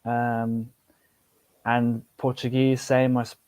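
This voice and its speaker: A man's voice: mid-range voice, monotone, takes it slowly, a little mellow, clear, resonant tone, no echo. A young British man chatting on a podcast about language learning, rambling with fillers and restarts. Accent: British English. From United Kingdom. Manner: rambling, many fillers and restarts, laughs easily. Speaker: podcast guest, polyglot. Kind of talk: casual podcast chat.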